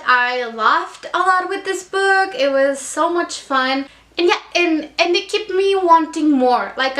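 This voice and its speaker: A woman's voice: high-pitched, fairly bright tone, roomy sound. A young woman from Mexico with a Mexican accent, chatting casually about books in a vlog, rambling with many fillers. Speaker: book vlogger. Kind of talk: casual reading wrap-up vlog.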